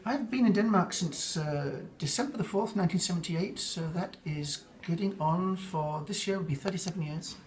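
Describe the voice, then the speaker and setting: Older English man, higher-pitched, reflective interviewee who rambles and restarts. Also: normal speed, few pauses. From England. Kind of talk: casual interview answers.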